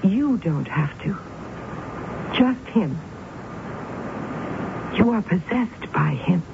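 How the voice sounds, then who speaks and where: A woman's voice: medium pitch, sing-song, drawn-out words, vocal fry, heavily acted. An actress playing an obsessed woman who believes a dog is the devil, in a 1970s radio drama. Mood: menacing. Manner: intense, obsessive, coldly insistent. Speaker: narrator and lead character. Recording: tinny lo-fi old radio broadcast, hiss.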